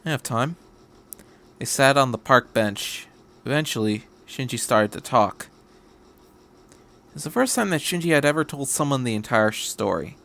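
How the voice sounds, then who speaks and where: A male podcast narrator reads fiction aloud. His voice sits at a medium pitch, and he tells the story in a measured, scripted way. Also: animated, gravelly, bright, forceful tone.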